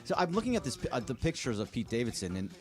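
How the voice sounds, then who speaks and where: A man's voice: medium pitch, very animated, fast, soft background music. A man on a sports radio show, chatting in an offhand way about his own tattoos. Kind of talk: casual radio chat.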